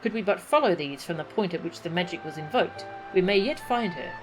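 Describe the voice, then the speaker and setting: A woman's voice: lowish voice, animated, brisk, music in the background, lightly acted, poor audio. A woman reading out a solemn, scholarly man's game lines in formal, old-fashioned speech. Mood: grave. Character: solemn scholarly man speaking archaic English. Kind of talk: reading game dialogue aloud.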